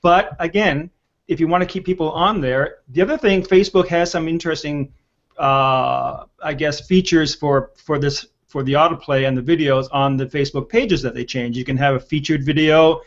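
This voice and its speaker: A man's voice: fairly high pitch, moderate pace. A man in his sixties hosting a conversational panel discussion over a video call. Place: indoor room on a video call. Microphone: video call with earpiece. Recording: dull lo-fi video call audio.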